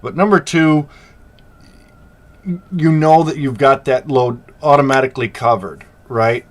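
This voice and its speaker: A middle-aged man with a slight sore throat talks straight to his viewers about the freight business. His pitch sits in a plain, middling range, and his delivery is loose and conversational, full of "um" and "you know" as he reassures the audience. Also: a little slow. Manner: conversational, many fillers, reassuring asides. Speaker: freight business channel host. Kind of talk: casual talk to camera.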